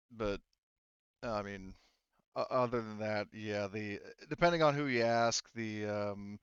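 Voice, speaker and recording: A man's voice: medium pitch, fairly bright tone, clear, resonant tone, no echo, lo-fi sound, lightly acted. Man playing a weary, hesitant woman engineer. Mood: guarded, tired. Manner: hesitant, halting, candid. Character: weary, candid overworked woman engineer in a space colony.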